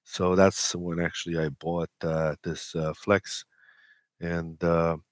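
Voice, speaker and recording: Deep-voiced man, casual and halting. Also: flat delivery, dry recording, clean studio sound. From Romania. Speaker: interview guest, amateur radio expeditioner. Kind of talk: casual technical interview talk.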